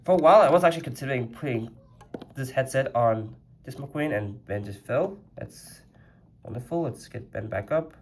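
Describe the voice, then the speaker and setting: A man's voice: mid-range voice, expressive, moderate pace, a little hiss or hum. A man reviewing a custom toy car in a casual vlog, rambling and chatty with a slightly congested voice. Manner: rambling and chatty, lots of "like" fillers.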